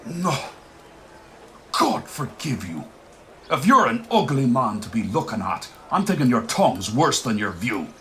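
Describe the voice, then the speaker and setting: A mid-pitched Irish man's voice as a proud, bossy village smith in a radio play. He scolds emphatically, with big swings of pitch, over faint noise. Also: big pitch swings, measured pace, sharp tone. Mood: indignant. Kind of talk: radio play acting of rural Irish dialect.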